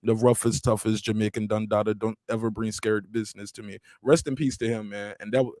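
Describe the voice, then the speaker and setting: A man's voice: lowish voice, level tone, a little fast, a little mellow, dry recording. A young man on a panel, chatting casually and telling stories with animation. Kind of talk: casual chat, animated storytelling.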